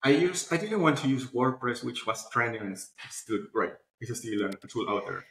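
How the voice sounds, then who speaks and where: Male interview guest, mid-pitched, animated conversational storyteller, roomy echoey recording. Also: normal speed. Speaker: interview guest, publishing platform founder. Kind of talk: casual conversational interview answers.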